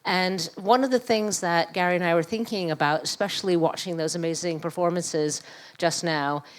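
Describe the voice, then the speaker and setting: A middle-aged woman with a New York accent speaking conversationally at a public talk, halting and thoughtful. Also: fairly low pitch. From New York, USA. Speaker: panel speaker, academic and activist. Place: live event room at a museum.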